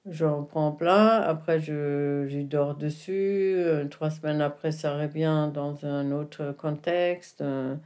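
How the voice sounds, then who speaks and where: A woman's voice: deep voice, dark tone. A woman whose first language is not French, speaking in an intimate conversation and searching for her words with frequent restarts. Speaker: interviewee, the filmmaker's mother.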